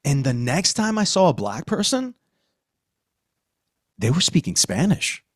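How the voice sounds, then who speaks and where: A man's voice: a little high, very animated, measured pace, dry recording, clean studio sound. A middle-aged man from Queens, New York, hosting a casual podcast discussion with emphatic, slangy talk. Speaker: podcast host.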